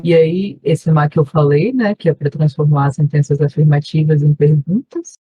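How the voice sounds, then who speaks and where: A woman's voice: sits low, steady tone, normal speed, mellow, dull audio. Patient Brazilian woman teaching online. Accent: Brazilian Portuguese. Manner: patient explaining, frequent "né?" check-ins. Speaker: volunteer language teacher. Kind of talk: online class review lesson. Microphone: video call.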